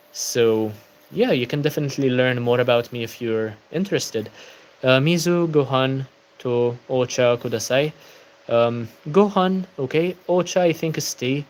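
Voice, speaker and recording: A man's voice: medium pitch, normal speed, a little mellow, rough recording. A tired young man hosting a casual book-channel livestream, chatting and answering viewer comments.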